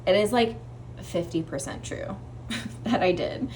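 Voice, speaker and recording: A woman's voice: mid-range voice, a little slow, darkish tone, a little hiss or hum, poor audio. A woman chatting casually as a book vlogger, in an informal, rambling style where she often interrupts herself. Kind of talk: casual chatty vlog to camera.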